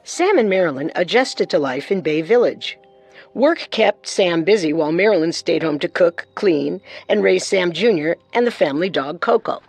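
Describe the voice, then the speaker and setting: A woman's voice: fairly low pitch, expressive, moderate pace, a little mellow, no echo. A woman co-hosting a true-crime show with scripted narration. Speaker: co-host, narrator.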